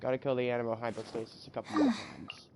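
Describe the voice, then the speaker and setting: A man's voice: medium pitch, sing-song, quick pace, very noisy. A man doing casual gameplay commentary as a streamer, thinking aloud and muttering through lists that trail off. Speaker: game streamer. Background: game music.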